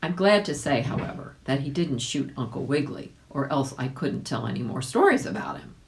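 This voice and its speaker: A woman reading a children's story aloud in an expressive, animated way, addressing young listeners directly. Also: extremely low pitch, fairly quick, creaky. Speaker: volunteer audiobook reader.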